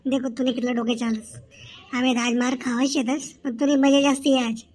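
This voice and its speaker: A high-pitched, cocky cartoon schoolboy played by a woman with a Khandeshi Ahirani accent. He teases and argues, a mischievous village kid who always talks back. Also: level tone, a little fast, heavy background noise, close to an everyday voice. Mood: teasing. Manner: cocky, teasing, argumentative. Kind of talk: comedy cartoon dialogue.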